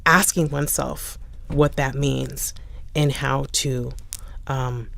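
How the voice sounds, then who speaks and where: A middle-aged woman, a playwright in a panel discussion, speaking haltingly and reflectively as she searches for words. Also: rumbling low, steady tone, gravelly, a bit bright. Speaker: playwright, interview guest.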